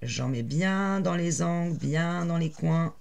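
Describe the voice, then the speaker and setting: A woman with a naturally raspy voice and a head cold, chatting casually with many fillers as she explains a paper craft project step by step while she works. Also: fairly low pitch, takes it slowly, a little mellow. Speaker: crafting tutorial host.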